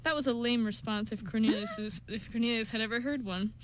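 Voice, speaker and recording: A woman with a slightly high voice narrates a scripted radio story. She reads in an amateur way, occasionally stumbling on a word. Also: brisk, a little hiss or hum. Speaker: narrator and voice actor on a radio show.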